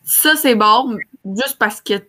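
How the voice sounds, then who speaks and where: Bright-voiced young Québécois woman. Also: fairly high pitch, takes it slowly. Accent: Québécois French. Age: young adult. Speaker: talk show panelist. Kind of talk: casual chat. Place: small room at home, on a video call. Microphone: computer mic on a video call.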